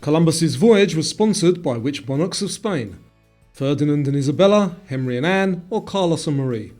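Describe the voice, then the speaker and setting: A man reading scripted quiz narration in a measured, presenterly way. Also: on the high side, lively intonation, darkish tone, soft background music. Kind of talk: scripted quiz narration, multiple-choice questions.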